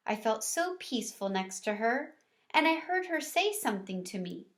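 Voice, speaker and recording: A woman reading a children's storybook aloud, deliberately and expressively, as if for young listeners. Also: a little high, sing-song. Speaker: read-aloud teacher or reader.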